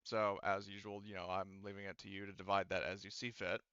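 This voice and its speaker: A man's voice: low voice, level tone, fairly quick, bright, forceful tone, clear, resonant tone, dry recording, muffled recording. A man working as game master, chatting and narrating a tabletop game in a wry, explanatory way.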